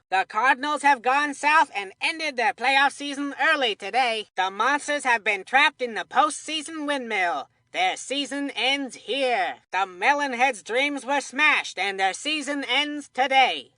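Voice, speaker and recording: Cartoon baseball announcer, high voice, slow deliberate pacing, punchy, upbeat, video game commentary. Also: female voice, bright, forceful tone, no room sound, full character voice. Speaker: sports commentator. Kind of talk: scripted play-by-play sports announcing.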